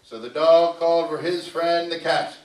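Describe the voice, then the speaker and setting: A man's voice: fairly high pitch, steady tone, darkish tone. Male puppeteer storyteller in a roomy space. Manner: dramatic pauses and drawn-out repetition, asks the audience questions. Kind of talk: live children's storytelling. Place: arts center live show. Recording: roomy hall, distant mic, faint noise.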